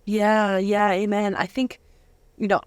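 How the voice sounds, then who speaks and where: A woman's voice: medium pitch, level tone, unhurried, smooth, no room sound. A woman interviewing a guest in a casual, chatty and affirming way. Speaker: interviewer, host.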